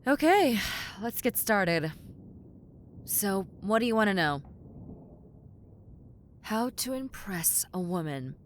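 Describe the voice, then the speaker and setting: A woman's voice: mid-range voice, sing-song, takes it slowly, pauses often, slight background noise. A woman voicing a brash tomboy succubus in a roleplay audio, sarcastic, incredulous and casually blunt. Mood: frank, advising. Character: brash tomboy succubus demon, blunt, sardonic, reluctantly helpful. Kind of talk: roleplay audio acting, conversational.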